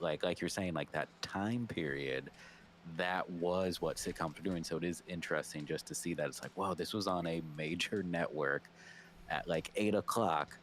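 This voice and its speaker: A man's voice: sits low, a bit bright. A man with an American accent chatting casually as a podcast co-host, agreeable and loose in his commentary. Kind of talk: casual chat, reacting and commenting. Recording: noticeable background ambience noise.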